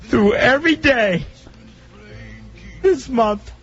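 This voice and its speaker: A man's voice: very high-pitched, lively intonation, fairly bright tone. A drunk, upset man hosting a radio show, wailing out his grievances in an emotional rant. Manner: drawn-out, wailing, aggrieved delivery. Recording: dull lo-fi radio recording, music behind.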